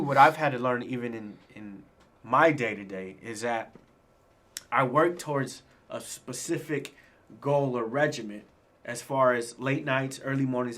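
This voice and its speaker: A man's voice: medium pitch, fairly bright tone. A man co-hosting a live show, talking loosely and often repeating himself. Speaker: co-host. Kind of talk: casual live show chat.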